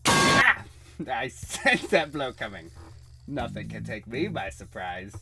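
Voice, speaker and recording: Gloating comic book supervillain, bitter and grandiose, swoopy delivery, music behind. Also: male voice, medium pitch, big pitch swings, takes it slowly, gravelly, exaggerated voice. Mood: menacing triumph. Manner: theatrical, grandiose, menacing. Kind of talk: dramatic comic book reading.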